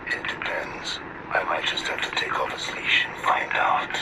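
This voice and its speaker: An actor playing a cool, scheming conspirator in a game cutscene, speaking in a calm, breathy hush. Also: male voice, normal speed, close to an everyday voice. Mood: calm menace. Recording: very noisy distant radio-like audio.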